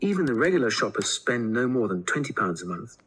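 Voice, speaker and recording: Animated, slightly husky student played by a man. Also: mid-range voice, a little fast, poor audio. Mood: earnest, informative. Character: studious male college student presenting survey findings. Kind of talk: scripted listening-test dialogue.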